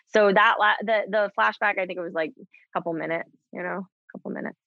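Halting woman in her thirties, candid. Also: medium pitch, steady tone, gravelly, dry recording, highs cut off. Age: 30s. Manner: halting, many restarts, laughs often. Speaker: guest. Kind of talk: candid conversation.

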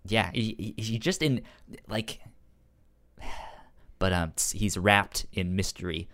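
A man's voice: lowish voice, takes it slowly, a bit bright. A man co-hosting a podcast, casually discussing comic books, with a stutter that repeats sounds and syllables. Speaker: podcast co-host.

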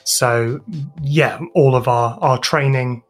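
British guy being interviewed, average pitch, thinks as he talks, music under him. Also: steady tone, takes it slowly. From United Kingdom. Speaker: guest, podcast sound designer and composer. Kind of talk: interview answers, explanatory talk.

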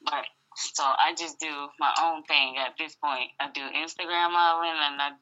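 A woman with a naturally raspy voice, from Atlanta, chats loosely over the phone as an interview guest. Her tone sits on the low side and is strikingly bright and edgy. The call comes through dull and lo-fi. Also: fairly even intonation, moderate pace. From Atlanta, Georgia, US. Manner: laid-back, laughs often, many "you know"s. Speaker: singer and model guest. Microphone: phone line.

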